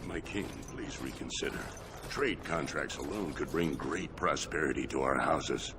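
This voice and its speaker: An actor playing a deferential dwarf noble in a fantasy game, formal and entreating. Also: male voice, very deep voice, expressive, moderate pace, heavy background noise. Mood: pleading. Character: deferential male dwarf noble, pleading with his king. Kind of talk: fantasy game cutscene acting. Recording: loud music over speech.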